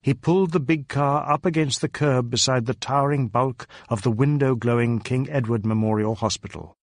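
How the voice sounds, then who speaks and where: A male audiobook narrator with a medium-pitched voice reads crime fiction in a measured, suspenseful way. The sound is dry, with very little room in it. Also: dry recording. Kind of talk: scripted narration of a crime novel.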